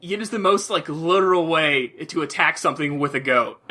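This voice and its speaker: A man chatting casually about video games as a podcast co-host, haltingly, often repeating and restarting his phrases. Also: a little high, sharp tone.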